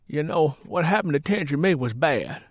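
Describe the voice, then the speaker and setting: A man's voice: on the high side, animated, moderate pace, no room sound, studio quality, pitched above the performer's own voice. A man voicing a mocking, hard-drinking Southern husband with folksy, irreverent banter in an audiobook. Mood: dismissive. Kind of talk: audiobook character acting.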